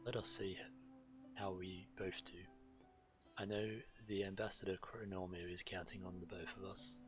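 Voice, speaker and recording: A man's voice: low voice, level tone, moderate pace, fairly bright tone, faint music behind. A man streaming a game, reading quest text aloud and adding casual commentary.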